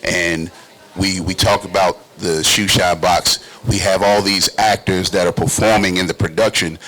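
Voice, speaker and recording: A man's voice: low-pitched, steady tone, moderate pace, a bit bright, rough recording. A man who hosts and acts, talking casually in an emphatic, slangy way on a talk show. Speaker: talk show host and stage actor.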